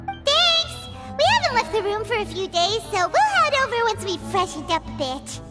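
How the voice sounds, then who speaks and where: Tiny squeaky fairy sidekick, super high, bouncy pitch, chatty game character. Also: female voice, moderate pace, over loud music. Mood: eager. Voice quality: squeaky, childlike. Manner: speaks of herself in third person, expressive. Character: tiny chatty childlike female fairy companion, excitable. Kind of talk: video game voice acting.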